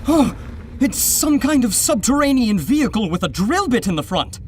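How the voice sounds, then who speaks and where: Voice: mid-range voice, big pitch swings, normal speed, a little mellow, a little hiss or hum, close to an everyday voice. An actor playing a curious, analytical expedition member in an audio drama, inquisitive and scholarly. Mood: amazed.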